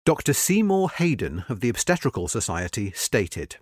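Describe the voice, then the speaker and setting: A man reading a history article aloud as scripted narration, measured and expressive with marked emphasis. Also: mid-range voice, dry recording, clean studio sound.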